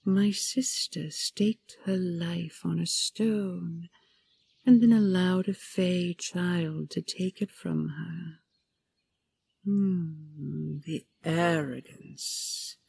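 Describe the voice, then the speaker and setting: A woman voicing an ancient, imperious dragon queen in an audio roleplay, breathy and gravelly, with a regal, commanding manner. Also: lowish voice, drawn-out words, dark tone, full character voice. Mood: haughty, softening. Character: ancient imperious female dragon queen, stern, possessive, condescending.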